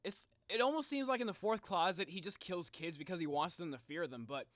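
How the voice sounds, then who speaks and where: A man doing casual live reaction commentary as a streamer, excitable and slangy, often repeating his phrases. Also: sits high, a little fast, no room sound. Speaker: streamer reacting to game videos.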